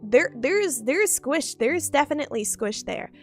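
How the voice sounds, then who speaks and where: A woman's voice: high-pitched, animated, a little slow. A woman streamer chatting casually with her viewers, playful and giggly, repeating herself, groggy from just waking up. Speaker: VTuber streamer. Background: faint background music.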